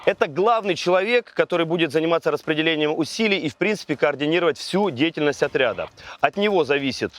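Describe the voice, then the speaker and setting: A man's voice: a little high, expressive, quick pace, a bit bright. A man hosting a reality show, presenting and briefing the contestants. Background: faint music.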